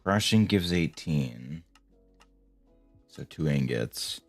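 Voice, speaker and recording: A man casually talking to himself while playing a game, low-key and mumbling, with a voice he says people call soothing. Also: lively intonation, takes it slowly, vocal fry, no echo, faint music behind. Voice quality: voice called soothing. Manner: low-key, mumbling, swears when frustrated. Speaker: streamer. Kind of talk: casual gameplay commentary.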